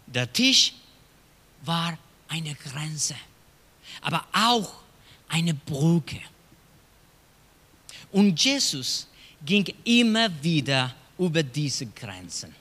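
A middle-aged man from Chile with a Chilean Spanish accent, a pastor preaching a sermon in a slow storytelling way with hushed dramatic drops. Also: on the high side, sing-song. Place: church hall. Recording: long hall reverb.

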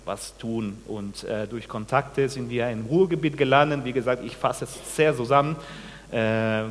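A man in his forties from Peru, a pastor giving a sermon, speaking haltingly with many restarts and questions to the congregation. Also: medium pitch, big-room sound. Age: 40s. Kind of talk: sermon with personal testimony. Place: church.

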